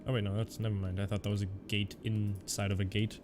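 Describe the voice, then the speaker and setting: A young man from Germany with a mixed Canadian-German accent, talking through a simulator flight and answering chat. His voice sits fairly low, and a steady hiss of noise runs under it from the home setup. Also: fast talker. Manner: chatty, reads chat aloud and answers viewers. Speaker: flight sim streamer. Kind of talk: casual live-stream commentary.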